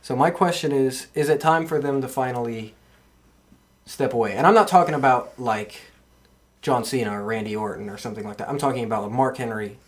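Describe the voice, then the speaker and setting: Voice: medium pitch, a little fast. A podcast host chatting casually about wrestling.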